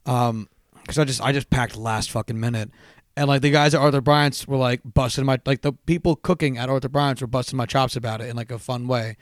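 A man co-hosting a casual podcast, hoarse-voiced, telling stories animatedly and swearing freely. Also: mid-range voice, fairly even intonation, brisk, dry recording, clean studio sound. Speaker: podcast co-host. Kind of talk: casual chat.

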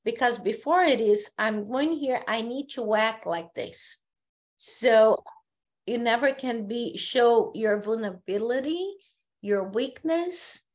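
A woman from Brazil with a Brazilian Portuguese accent, a therapist speaking as a podcast guest, telling her story earnestly with halting restarts. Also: on the high side, a little mellow. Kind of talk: casual conversation.

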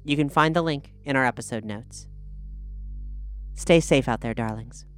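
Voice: mid-range voice, normal speed, smooth, faint music behind. A podcast host reading a scripted outro in a friendly, theatrical manner.

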